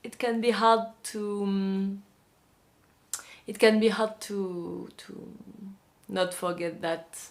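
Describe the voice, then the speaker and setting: A young woman with a French accent, talking casually and hesitantly to camera in a vlog, with many pauses and restarts. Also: mid-range voice, fairly even intonation. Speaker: vlogger, magazine publisher.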